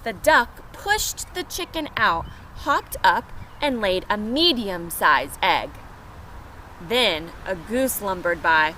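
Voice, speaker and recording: A woman's voice: mid-range voice, lively intonation, slow, pushed and bright. A woman reading a picture book aloud to young children, expressively and with asides to her listeners, recorded outdoors. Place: outdoors near pet chickens. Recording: noisy outdoor recording, urban ambience.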